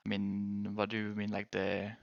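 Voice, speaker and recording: A man's voice: on the low side, completely flat delivery, moderate pace, vocal fry, dry recording, muffled recording. A man whose first language is Danish, chatting casually with viewers while gaming as a streamer, rambling and restarting his sentences.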